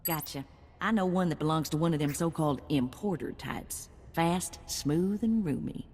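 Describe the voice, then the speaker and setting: An actress playing a brash, streetwise bounty hunter's handler in a video game, folksy and cheeky. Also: female voice, deep voice, moderate pace, darkish tone, soft background music, natural voice. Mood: brisk, wry. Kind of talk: scripted game character acting. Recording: long hall reverb.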